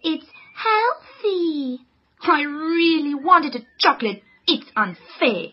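A woman doing a high prim schoolgirl. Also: lively intonation, slow pace, muffled recording. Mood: sulky. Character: studious young girl who avoids exercise.

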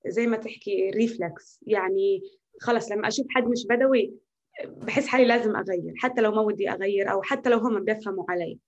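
A young woman speaks in a Naqab Bedouin accent, answering an interviewer. Her speech is quick and emphatic, and she sounds passionate about what she says. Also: medium pitch, highs cut off. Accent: Naqab Bedouin Arabic. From Naqab Bedouin, Palestine. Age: young adult. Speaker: guest, activist.

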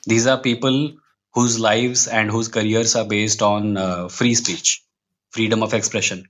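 A man's voice: on the low side, fairly even intonation, a little slow, fairly bright tone. A man with an Indian accent talking conversationally as a podcast guest, reflective and opinionated as he lays out his points. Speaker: guest, comic book writer.

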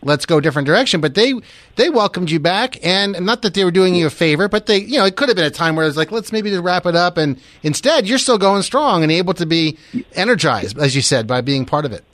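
A man's voice: sits high, animated, quick pace, fairly bright tone, dry recording. An American man hosting a radio show, interviewing a guest in a conversational style.

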